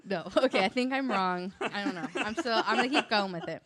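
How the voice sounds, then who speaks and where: A woman's voice: medium pitch, lively intonation, brisk, a bit bright. A woman chatting casually and jokingly as a podcast co-host.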